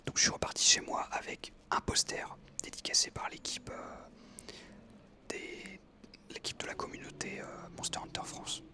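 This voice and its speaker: Bright whispering young man. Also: normal speed. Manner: whispering throughout, hesitant with many fillers. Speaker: ASMR creator. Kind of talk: whispered ASMR storytelling. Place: outdoors on an evening walk.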